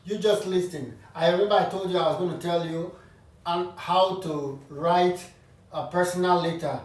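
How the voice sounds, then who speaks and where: A man's voice: high-pitched, moderate pace. A man giving casual advice to his channel's viewers, frank and opinionated, often restarting his sentences. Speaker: vlogger. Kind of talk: casual advice talk to camera. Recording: roomy echo, distant from the mic.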